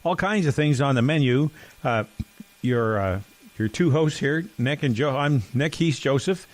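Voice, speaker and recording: A man's voice: medium pitch, expressive, a little slow. Guy cohosting a podcast, middle-aged, rambly, keeps restarting sentences, bit of a cough. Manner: rambling, self-correcting storyteller. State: occasional cough. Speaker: podcast co-host. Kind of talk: casual chat.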